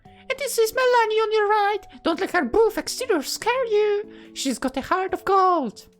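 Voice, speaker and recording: Teenage sprite girl, very high voice, perky, bossy, theatrical, visual novel game character. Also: animated, normal speed, faint music behind. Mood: bubbly, eager. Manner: perky, theatrical, indignant when teased. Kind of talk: anime-style game character voicing.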